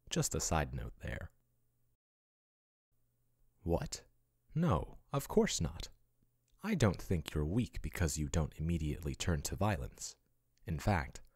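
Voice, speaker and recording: A man playing a breathy, doting yandere AI chatbot. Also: deep voice, dry recording, studio quality, heavily acted. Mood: protective. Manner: soft, intimate, doting, turning possessive. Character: male AI chatbot, soothing then possessive yandere. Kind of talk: ASMR boyfriend roleplay.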